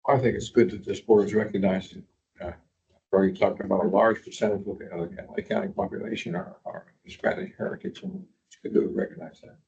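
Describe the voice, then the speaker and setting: A man with a gravelly, creaky voice speaks briefly and a little haltingly as a board director. He sounds set back from the microphone, and the recording is dull and lo-fi. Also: lowish voice, fairly even intonation, a little mellow. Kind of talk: public board meeting comments. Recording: distant gravelly voice on dull audio.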